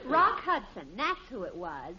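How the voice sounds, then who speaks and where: A curious, easily offended American teenage daughter in vintage family radio comedy style. The voice is high and swoops with indignation. The recording sounds dull and old. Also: female voice, wildly swooping pitch, measured pace, a loud crowd, heavy background noise. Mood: defiant. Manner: indignant, pleading. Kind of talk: 1950s radio sitcom acting.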